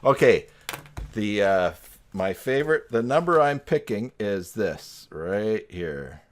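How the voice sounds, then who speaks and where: Low-voiced man hosting a casual live stream. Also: very animated, slow, a little hiss or hum. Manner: joking, teasing. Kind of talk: casual video call chat on a live stream.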